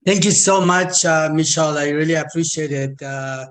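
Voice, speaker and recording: Halting Iranian-accented man in his fifties. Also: on the high side, a bit bright. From Iran. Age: 50s. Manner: halting, stammered repetitions. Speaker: guest speaker. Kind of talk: personal testimony talk.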